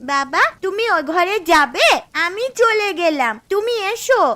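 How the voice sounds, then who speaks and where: High-pitched excited little girl. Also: unhurried, no echo, clean studio sound, full character voice. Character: excited little girl, daughter and granddaughter of the house. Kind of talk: Bengali moral-story cartoon dialogue.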